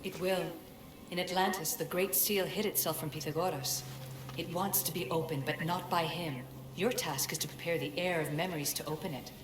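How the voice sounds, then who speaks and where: A woman playing an ancient, cryptic spirit in a video game, speaking in a solemn, measured, commanding way. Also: on the low side, fairly even intonation, noisy background, natural voice. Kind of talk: video game cinematic acting. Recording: echoey voice over loud background music.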